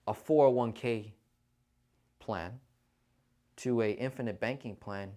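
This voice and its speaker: A man hosting a casual livestream finance Q&A, talking persuasively and often checking in with listeners. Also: fairly low pitch. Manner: conversational, persuasive, often checks in with "right?" and "okay?". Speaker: financial Q&A host.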